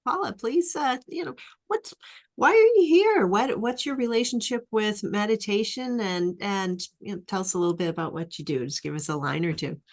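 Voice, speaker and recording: A woman's voice: medium pitch, moderate pace. A woman hosting a live online roundtable, welcoming guests with many fillers and restarts. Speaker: host.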